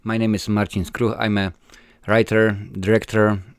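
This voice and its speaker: Polish guy in his 40s, deep smooth voice, lots of uhs, dry close sound. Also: little pitch movement, moderate pace, no room sound. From Poland. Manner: hesitant, many fillers and restarts. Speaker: filmmaker guest. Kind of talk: casual interview answers.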